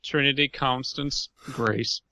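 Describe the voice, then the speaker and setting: A man's voice: mid-range voice, fairly even intonation, takes it slowly, pushed and bright, dry recording. A man co-hosting a comedy podcast, talking and reading aloud in a casual, profane, joking way. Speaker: podcast co-host.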